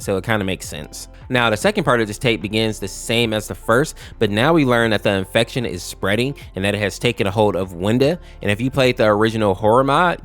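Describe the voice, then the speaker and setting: A man's voice: on the low side, a bit bright. A man narrating an explainer commentary in an informal, conversational way. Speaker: video narrator explaining horror lore. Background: music bed.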